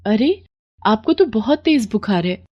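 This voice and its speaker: Casting: concerned, gentle wife and housemaid in a cartoon moral tale voiced by a female narrator. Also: on the high side, animated, a little slow, lo-fi sound, voice pushed up. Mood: worried. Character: caring, worried wife of a poor labourer, a housemaid. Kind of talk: animated moral story voice acting.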